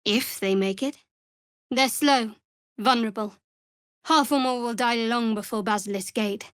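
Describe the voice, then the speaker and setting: Young female dark cleric, video game, high-pitched, guarded, dry wit, close echo-free studio sound. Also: unhurried, clean tone. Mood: dry, wry. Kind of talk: video game character voice lines. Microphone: close studio microphone.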